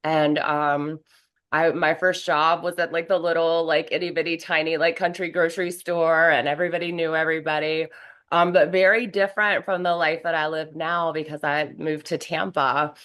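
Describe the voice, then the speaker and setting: A woman from North Carolina, hoarse from a cold, chatting as a guest in an interview with lots of fillers. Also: deep voice, flat delivery, normal speed, fairly bright tone. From North Carolina, USA. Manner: chatty, rambling, many fillers. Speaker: guest, professional wrestler. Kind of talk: casual interview conversation.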